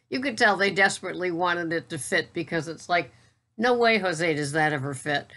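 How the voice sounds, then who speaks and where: This is a woman in her sixties with a notably low voice, chatting to her viewers in an unhurried, even way. She calls her own delivery a monotone, but she keeps it plain and matter-of-fact, with the odd wry aside. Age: 60s. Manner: steady, matter-of-fact, self-described monotone. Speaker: keto vlogger and coach. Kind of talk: casual talk to camera.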